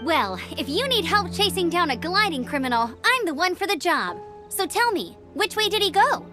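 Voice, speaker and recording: High, lively young scout girl. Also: normal speed, soft background music, heavily acted. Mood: confident, eager. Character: spirited young female scout, confident and eager. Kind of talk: animated video game dialogue.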